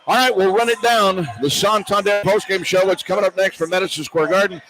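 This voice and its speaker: A man's voice: high voice, fairly even intonation, brisk, music in the background, poor audio. A man working as a radio play-by-play sportscaster, giving basketball broadcast commentary from courtside in an arena.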